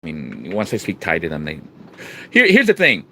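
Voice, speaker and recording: Rambling low-voiced man, faint background hiss. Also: wildly swooping pitch, moderate pace. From United States. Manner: rambling, with frequent restarts and fillers; swings from low murmurs to sudden loud emphatic bursts. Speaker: vlogger. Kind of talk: casual vlog talk to camera.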